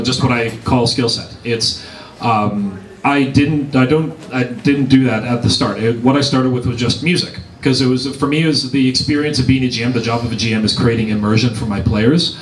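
A man's voice: mid-range voice, normal speed. Canadian man explaining in an echoey hall. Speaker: game master, panelist. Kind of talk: convention panel advice. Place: large convention room. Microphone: handheld mic, heard from across the room. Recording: echoey room from far off.

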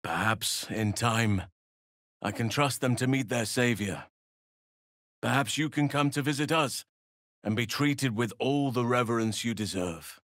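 A man playing a wise old elf druid in a video game, protective and solemn, speaking in measured, earnest, formal phrases. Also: mid-range voice, a bit bright, no echo, clean studio sound, close to an everyday voice. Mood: solemn, hopeful. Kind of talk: video game character dialogue.

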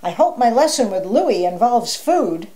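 Woman voicing an excitable, moody storybook wolf. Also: on the high side, unhurried. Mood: hungry. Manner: emotional, exclamatory. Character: excitable moody male wolf, quick to despair or explode.

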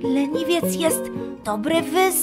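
A woman's voice: high voice, lively intonation, slow, pitched above the performer's own voice. A woman voicing a kindly, encouraging male snake in a children's story. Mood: gentle, reassuring. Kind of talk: children's audio story acting. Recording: music under the voice.

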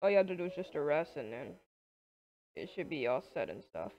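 A woman playing an easygoing, affectionate businessman in love, talking casually and haltingly in an improvised game roleplay. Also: low voice, big pitch swings, pitched below the performer's own voice. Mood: weary. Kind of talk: improvised Minecraft romance roleplay. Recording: dull, lo-fi microphone.

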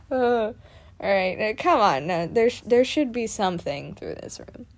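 A woman playing a playful, excitable young male adventurer in an audio roleplay, chatty and expressive, affectionate with a partner. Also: medium pitch, slow, heavily acted. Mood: amused, teasing. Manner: breezy, giggly, expressive, chatty.

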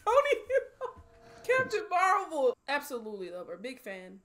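A woman's voice: high-pitched, wildly swooping pitch, normal speed, very noisy. A woman in her twenties giving casual, emotional, slangy film reaction commentary, full of interjections, recorded in a small room. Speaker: movie reaction host. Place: small room at home, indoors.

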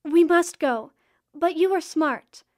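A woman's voice: very high voice, slow pace. An actress playing a protective queen goddess of the underworld in an audio drama, speaking formally and with emphasis. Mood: firm, protective.

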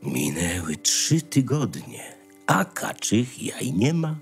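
A man narrating a scripted fairy tale in a measured, expressive storytelling manner. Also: fairly low pitch, vocal fry, faint music behind.

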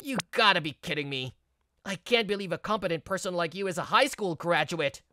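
Man doing high, whiny arrogant young rookie. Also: fairly quick, sharp tone, no room sound. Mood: flustered. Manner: indignant, complaining. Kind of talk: scripted manga story dub.

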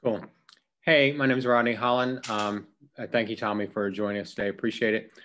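A man from rural North Carolina, a writer-producer introducing his projects on a video call, halting with many fillers. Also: fairly low pitch, steady tone, fairly bright tone, a little hiss or hum. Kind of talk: self-introduction pitch.

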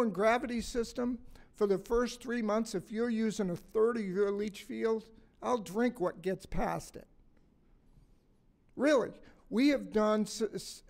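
Blunt, raspy older man lecturing. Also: high voice, darkish tone. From Massachusetts, USA. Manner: blunt, folksy and joking, asks the room questions. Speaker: conference speaker. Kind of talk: conference talk to a live audience. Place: hotel conference room. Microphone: stand mic on a podium.

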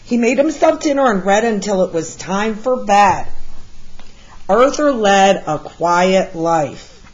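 A woman reads a children's picture book aloud at a slow pace. Her delivery is expressive and deliberate, like a storyteller guiding young listeners through the pages. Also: medium pitch, muffled recording. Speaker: read-aloud storyteller, art teacher.

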